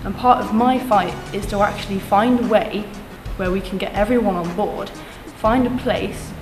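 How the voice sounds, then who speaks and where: A young woman making an earnest, confident campaign pitch as a student election candidate, restating her points in lists. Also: moderate pace. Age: young adult. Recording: echoey room with music behind.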